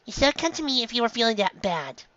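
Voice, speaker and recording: A woman's voice: fairly high pitch, very animated, fast talker, a bit bright, no echo, highs cut off, pitched above the performer's own voice. A woman voicing a small, caring but firm reindeer doctor in a story reading, speaking earnestly and emphatically. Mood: concerned.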